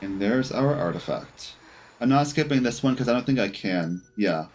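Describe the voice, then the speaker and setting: A man's voice: mid-range voice, expressive, normal speed, darkish tone, slight background noise. A man giving casual gameplay commentary as a streamer, half talking to himself. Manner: talks to himself and the game. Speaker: game streamer.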